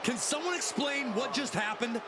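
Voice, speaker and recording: A man playing a brash, excitable wrestling commentator in a video game, calling the show over arena noise. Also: extremely high pitch, normal speed. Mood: excited, indignant. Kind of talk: sports commentary. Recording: loud arena noise behind.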